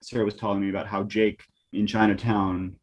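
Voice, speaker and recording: Man, panelist, analytical, lecturing, low pitch, trailing phrase ends. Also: level tone, a little fast.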